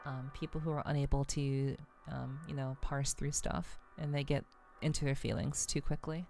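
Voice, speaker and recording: A woman livestreamer chatting casually to viewers in an ASMR style, with a soothing voice and a relaxed, halting, unhurried delivery. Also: a bass voice, gravelly, faint music behind. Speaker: VTuber livestream host.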